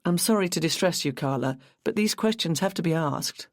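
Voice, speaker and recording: A woman voicing a calm, kindly female police detective who questions gently and reassuringly. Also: lowish voice, brisk, dry recording, studio quality, close to an everyday voice.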